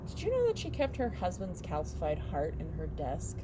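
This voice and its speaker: Blunt, sarcastic low-voiced woman. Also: huge pitch swings, normal speed. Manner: blunt, sarcastic, laughs often. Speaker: podcast co-host. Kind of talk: casual chat. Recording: loud traffic noise outdoors.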